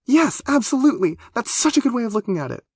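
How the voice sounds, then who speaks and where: A woman's voice: mid-range voice, expressive, brisk, no echo, clean studio sound, voice pushed up, close to an everyday voice. A man reading a story, voicing a bubbly, nervous young woman who gushes and trips over her words. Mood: nervous, gushing. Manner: gushing, flustered, loud.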